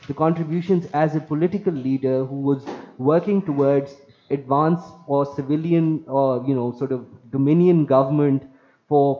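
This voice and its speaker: A man from Pakistan, speaking on an online panel in a measured, lecturing way and repeating phrases for emphasis. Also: medium pitch. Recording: muffled lo-fi audio.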